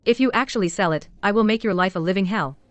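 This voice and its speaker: An actress playing an entitled, spiteful sister-in-law in a dubbed drama, accusing and demanding, quick to threaten. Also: female voice, medium pitch, no echo, highs cut off, close to an everyday voice.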